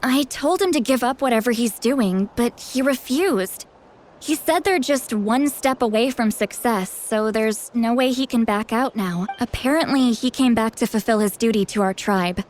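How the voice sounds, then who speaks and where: Woman voicing a tribe mentor lady in a game, kinda high youthful voice, frank and protective, sure of herself. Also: normal speed, slight background noise, lightly acted. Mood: serious. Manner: candid, informal, self-assured. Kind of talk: video game voice acting.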